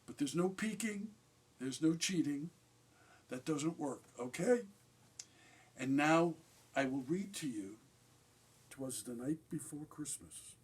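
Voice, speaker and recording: Kindly Santa Claus played by a man, husky and slightly high-voiced, gently reading a storybook to children with folksy asides. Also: lively intonation, slow, long pauses, full character voice. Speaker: guest reader at a benefit concert.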